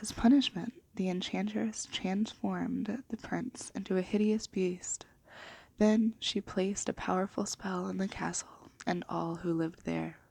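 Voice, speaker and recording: A woman speaking in a gentle, unhurried, hushed and breathy ASMR style, soft-spoken and half whispered, as for bedtime stories. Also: medium pitch, steady tone, no echo. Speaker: ASMR video host and storybook reader.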